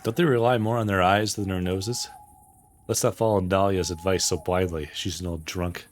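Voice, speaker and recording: A man's voice: low voice, steady tone, moderate pace, slight background noise. A man streaming a game, reading visual novel dialogue aloud. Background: soft guitar game music.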